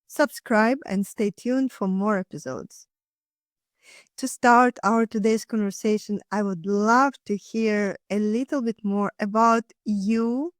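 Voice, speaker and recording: A woman's voice: mid-range voice, dry recording, clean studio sound. A woman hosting a podcast, reading a polished, upbeat introduction and asking interview questions. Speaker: podcast host. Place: studio.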